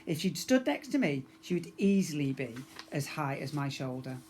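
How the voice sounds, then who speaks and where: A man's voice: on the high side, very animated, normal speed, darkish tone, slight background noise. A man narrating a children's story expressively, as a teacher reading to young pupils.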